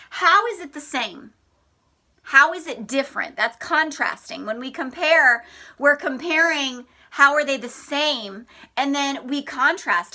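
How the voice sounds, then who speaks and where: Female schoolteacher for a children's read-aloud lesson; bright, clearly forward tone, slow and deliberate, expressive delivery. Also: sits high. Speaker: elementary school teacher.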